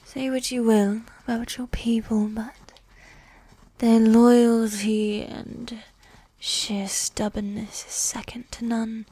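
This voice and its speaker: A woman playing a regal, seductive, coolly manipulative evil queen in a medieval ASMR roleplay, speaking in a soft, breathy whisper with formal, measured phrasing. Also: a little high, level tone, rough recording. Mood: composed, weary. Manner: slow, measured, formal, with long pauses.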